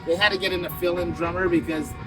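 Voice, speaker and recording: A man's voice: high voice, steady tone, quick pace. Enthusiastic middle-aged male host. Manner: enthusiastic, rambling, music-nerd talk. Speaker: podcast host. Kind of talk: casual interview. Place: outdoor steps at a party. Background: loud party music.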